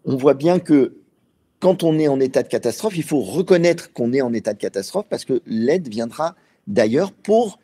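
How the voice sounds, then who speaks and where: A man's voice: mid-range voice, expressive, normal speed, dark tone, slightly rough. A man speaking as an expert in an interview, explaining step by step with frequent hesitations and fillers. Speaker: expert guest.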